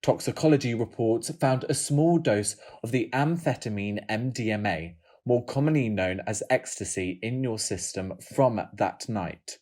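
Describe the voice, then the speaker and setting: A man acting as a stern judge in an audio drama, reading charges in a formal, measured, official manner. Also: mid-range voice, a little mellow, close to an everyday voice.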